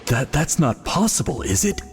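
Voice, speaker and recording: An earnest game priest with a fairly high, very animated voice. He is proud, dramatic and easily flustered, with a long reverb and music behind. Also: normal speed. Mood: apologetic. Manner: stammering, earnest. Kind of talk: anime-style video game voice acting.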